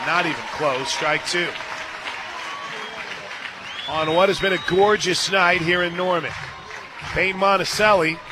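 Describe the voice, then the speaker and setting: An American man works as a radio play-by-play announcer for softball, with a fairly high voice. He is conversational and folksy between pitches, then punchy on the calls. A stadium crowd is clearly audible behind his broadcast mic. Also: noisy background. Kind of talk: live softball radio commentary with casual banter.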